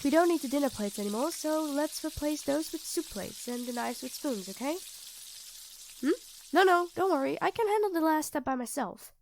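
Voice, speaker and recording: High-voiced sweet young girlfriend played by a woman. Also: moderate pace, slight background noise. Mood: cheerful, domestic. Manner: expressive, tender, speaking intimately to a partner. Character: affectionate young girlfriend, sweet, easily panicked and flustered. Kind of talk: ASMR roleplay acting addressed to the listener.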